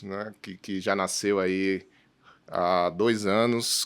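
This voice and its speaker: A man with a Brazilian Portuguese accent hosting a conversational business discussion in a halting way, often adding tag questions. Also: on the low side, clear, resonant tone. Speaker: host.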